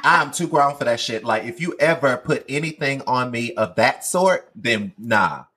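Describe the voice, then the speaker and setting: A man's voice: mid-range voice, animated, normal speed, sharp tone, breathy edge. A man in his late twenties co-hosting a podcast, chatting bluntly and animatedly, cursing freely. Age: 20s. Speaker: podcast co-host. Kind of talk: casual chat.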